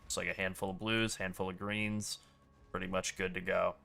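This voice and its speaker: A man's voice: sits low, normal speed, creaky. A man streaming a video game, commenting casually as he plays, with a rambling delivery full of "like.". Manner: relaxed, rambling, many "like" fillers.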